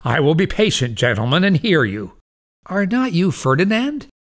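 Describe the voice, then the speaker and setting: A man's voice: a little high, big pitch swings, moderate pace. A man playing a gullible, mad old doctor with grandiose, melodramatic delivery in an audio farce. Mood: bewildered. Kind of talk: stage farce audio play.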